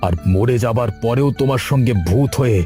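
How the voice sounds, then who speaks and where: A man's voice: mid-range voice, brisk, warm tone, soft background music, lightly acted. A man playing a humble, loyal village fisherman in a cartoon, speaking earnestly and plainly. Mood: grateful, devoted. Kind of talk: Bengali horror cartoon voice acting.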